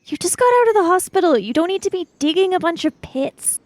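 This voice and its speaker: A woman's voice: very high voice, lively intonation, quick pace, a little mellow, dry recording. A woman chatting casually and improvising dialogue as a player in a tabletop roleplaying game.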